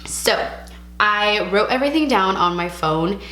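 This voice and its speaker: A young woman from California vlogging casually to camera, frank and chatty, mixing in Spanish words, her voice a little raspy from a sore throat. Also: medium pitch, animated, a little slow, pushed and bright, echoey room, low-quality recording. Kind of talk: casual vlog talk to camera.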